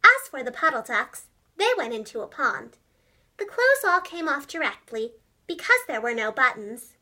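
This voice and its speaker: Lady doing storytime for little kids, high bright voice, really expressive. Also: normal speed. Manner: expressive, clearly enunciated, addressing young children. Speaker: storyteller, preschool teacher and voice actress. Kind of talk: animated children's storybook narration.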